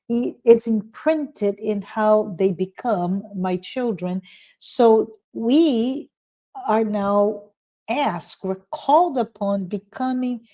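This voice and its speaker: Slow, mellow woman. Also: mid-range voice, muffled recording. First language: Portuguese. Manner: reflective, self-deprecating, many pauses. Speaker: podcast co-host. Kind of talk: casual spiritual discussion.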